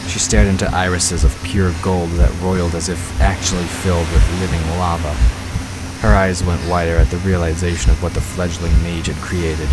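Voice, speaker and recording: A man's voice: deep voice, little pitch movement, moderate pace, over loud music, rough recording. A man narrating a scripted fantasy story as the narrator of an audio drama.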